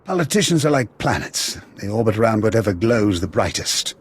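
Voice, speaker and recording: An actor playing a pragmatic, principled military officer in a video game, measured and earnest. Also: male voice, on the low side, big pitch swings, breathy edge. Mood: resolute.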